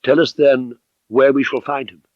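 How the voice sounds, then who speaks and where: Man acting a brisk, commanding detective on a muffled recording. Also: mid-range voice, little pitch movement, no room sound, natural voice. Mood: firm. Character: brisk, commanding male detective, keen and masterful.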